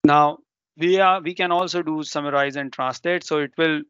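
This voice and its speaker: A man's voice: a little high, steady tone, normal speed, a bit bright, clean tone, no echo, muffled recording. A man whose first language is Urdu, presenting a live technical demo and explaining step by step with ums and self-corrections.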